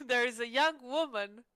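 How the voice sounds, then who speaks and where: A woman's voice: fairly high pitch, slow pace, sharp tone, clear, resonant tone, no echo. A woman chatting casually in character as a player in a tabletop game, hesitant and playful.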